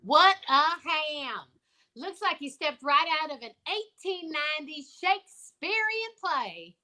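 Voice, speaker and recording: Woman as droll, drawling theatrical photographer, bright-voiced. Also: sits high, expressive, a little slow, exaggerated voice. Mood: arch, droll. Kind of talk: old-time radio comedy acting.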